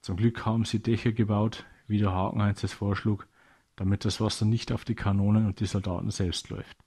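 A man's voice: lowish voice, little pitch movement, brisk, a little mellow, slightly rough. A man narrating a scripted children's audio story as a storyteller.

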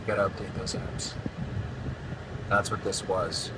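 A man in his forties hosting a casual podcast from inside a moving car, talking in a relaxed, upbeat way. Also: low voice, unhurried. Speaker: podcast host and band frontman. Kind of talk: casual chat. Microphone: phone at arm's length. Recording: loud road noise, slightly distant phone mic. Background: road and engine noise.

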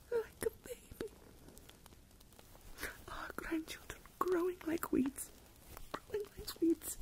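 A woman playing a fretful, self-pitying older mother in a period ASMR roleplay, whispering plaintively with melodramatic, drawn-out phrases. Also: very high voice, exaggerated voice, poor audio. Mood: complaining. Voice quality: breathy whisper.

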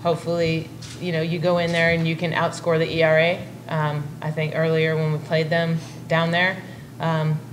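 A middle-aged woman coach fields postgame questions in a calm, measured way. Her voice sits on the low side. She speaks into a table mic in a press room, with clear noise behind her. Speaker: head softball coach. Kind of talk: postgame press conference answers.

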